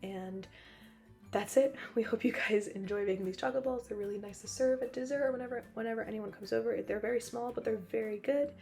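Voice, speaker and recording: Raspy young woman just over losing her voice, jokey rambling cooking voiceover. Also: on the high side, fast, darkish tone, faint music behind. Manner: chatty, joking, laughs often, rambling asides. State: hoarse, recovering from losing her voice. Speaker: cooking channel narrator. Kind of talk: casual voice-over narration.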